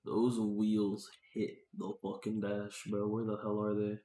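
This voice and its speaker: A man's voice: lowish voice, completely flat delivery, measured pace, dark tone. A man thinking aloud casually on a livestream as he works, muttering to himself in slangy, sweary talk. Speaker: game developer live-streaming work. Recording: roomy echo.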